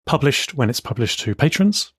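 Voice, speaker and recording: A man co-hosting a tech podcast talks through his setup step by step, emphatic and enthusiastic. His voice sits at an ordinary pitch. It is recorded close and dry, with almost no room sound. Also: sing-song, moderate pace, husky, no echo. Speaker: podcast co-host. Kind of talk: casual tech chat with screen demo.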